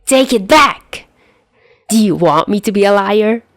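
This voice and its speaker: A woman's voice: mid-range voice, animated, a little slow, dry recording, clean studio sound, pitched above the performer's own voice. A woman voicing a whiny, indignant, cheeky young man in an audio story. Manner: shrieking, whining, cheeky.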